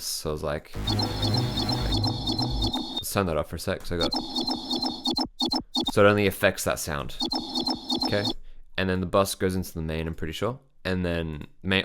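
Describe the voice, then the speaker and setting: A man's voice: sits low, a bit bright, smooth. A young man, a music producer, casually explaining his synth sound design, thinking aloud with lots of fillers. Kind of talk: casual software tutorial.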